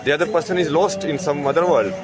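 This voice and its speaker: A man's voice: fairly high pitch, fast talker, heavy background noise. A man talking in a rambling, casual way, with lots of "like" and "no?". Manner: rambling, uses "like" and "no?" a lot, chuckles. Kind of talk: casual monologue.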